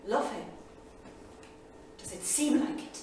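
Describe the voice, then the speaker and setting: An actress in a staged reading, playing a proud, earnest heroine in a solemn, dramatic manner. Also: female voice, slow, a little mellow, lightly acted. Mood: proud, exasperated. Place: theatre studio stage, far microphone. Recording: distant echoey theatre studio, faint noise.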